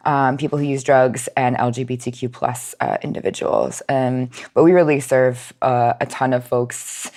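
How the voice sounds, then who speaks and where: Woman guest with a really deep voice, explaining stuff informally, pauses to think. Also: fairly even intonation, darkish tone. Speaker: nonprofit outreach manager, podcast guest.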